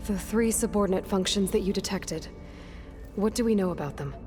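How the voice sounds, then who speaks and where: A woman's voice: mid-range voice, fairly quick, dark tone, lightly acted. An actress voicing a determined young woman hunter in a video game cutscene, asking direct, probing questions. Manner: direct, inquisitive. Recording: game cutscene with music, distant.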